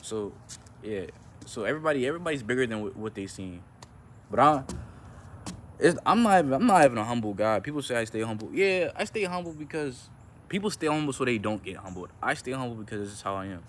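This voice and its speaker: A man's voice: mid-range voice, fast, slight background noise. A young man chatting casually in a rambling vlog, slangy and laid-back, with a nonchalant delivery. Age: young adult. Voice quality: monotone at times. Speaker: vlogger and amateur musician. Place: outdoors.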